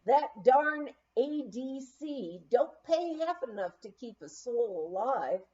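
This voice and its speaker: A woman's voice: a little high, big pitch swings, slow, dark tone. A woman voicing a defensive, hard-drinking barfly mother who grumbles in a folksy way, in a memoir reading, slightly muffled. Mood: defensive, grumbling.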